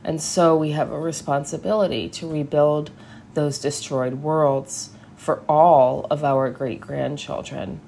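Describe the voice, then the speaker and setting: Woman, low pitch, scripted sermon, measured delivery, deliberate pauses. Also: fairly even intonation, vocal fry, mellow, a little hiss or hum. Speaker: service speaker.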